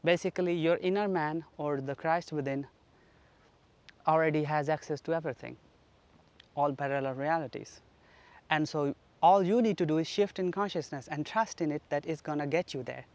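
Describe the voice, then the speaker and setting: A man from Pakistan giving an earnest, reflective spoken teaching at home, his sentences often trailing off softly. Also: a little high, moderate pace, no room sound. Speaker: spiritual teacher. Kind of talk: solo spoken teaching on manifestation. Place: flat.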